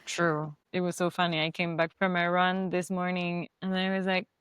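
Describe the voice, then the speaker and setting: A woman's voice: fairly low pitch, monotone, moderate pace, fairly bright tone, clean tone, no echo, clean studio sound. A woman hosting a podcast, chatting casually and laughing easily with her guest. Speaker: podcast host. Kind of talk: casual interview chat.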